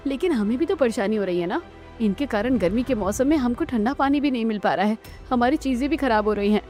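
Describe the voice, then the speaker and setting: A woman's voice: fairly high pitch, expressive, quick pace, darkish tone, soft background music, natural voice. A woman voicing an aggrieved young daughter-in-law in a cartoon, emphatic and dramatic. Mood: fed up.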